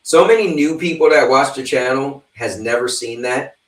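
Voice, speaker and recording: A man's voice: mid-range voice, moderate pace, far from the mic. A man of fifty hosting a livestream, chatting loosely and rambling as he talks straight to his viewers. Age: 50s. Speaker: livestream host. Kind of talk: casual chat with a livestream audience. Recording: roomy sound, away from the mic.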